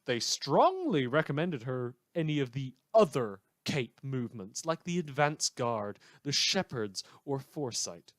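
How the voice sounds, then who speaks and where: Sardonic male community-radio newscaster, acted by a man, middle-pitched, wry and theatrical, rambling asides, very dry recording. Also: very animated, unhurried, hardly any pauses, fairly bright tone, no echo. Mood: wry, mock-solemn. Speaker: radio news host. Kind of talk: satirical scripted radio news monologue.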